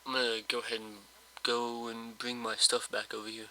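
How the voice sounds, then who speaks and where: A man who sounds slightly hoarse from just waking up, giving casual, rambling commentary over his gameplay with lots of hesitations and fillers. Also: mid-range voice, monotone, normal speed, bright, forceful tone, slight background noise, rough recording. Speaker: let's play host.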